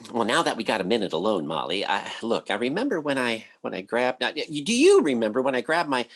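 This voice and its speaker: A man playing a blustering but soft-hearted husband in an old-time radio comedy, folksy, excitable and bumbling. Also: medium pitch, fast. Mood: flustered.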